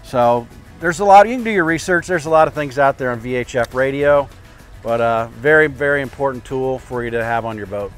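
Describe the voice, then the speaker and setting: A man's voice: mid-range voice, a little fast. Older man explaining casually over background music. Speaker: boat captain giving boating tips. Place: outdoors at a marina by a parking lot. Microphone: clip-on lapel mic.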